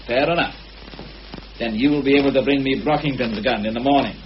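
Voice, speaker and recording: An actor playing a shrewd, sceptical police inspector in a radio murder mystery, questioning with probing, dry irony. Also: male voice, mid-range voice, a little fast, lightly acted. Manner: dryly ironic, probing questioning. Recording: muffled, lightly hissy old radio recording.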